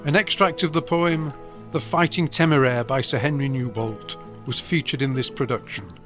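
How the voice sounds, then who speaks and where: A man's voice: medium pitch, a bit bright. A man giving scripted narration as the narrator of an audio drama.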